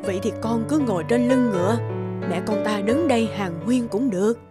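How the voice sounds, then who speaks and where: A woman playing a doting, fussing old peasant mother in an animated fairy tale. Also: a little high, a little fast, lightly acted. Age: older. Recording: loud music behind the voice.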